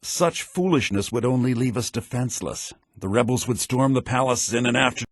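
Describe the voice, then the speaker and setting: An actor playing a haughty, grumbling fantasy townsman in a video game, theatrical and sardonic, in low-bitrate audio. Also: fairly quick, breathy edge, no room sound, studio quality, close to an everyday voice. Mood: haughty, calculating. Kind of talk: video game character voice lines.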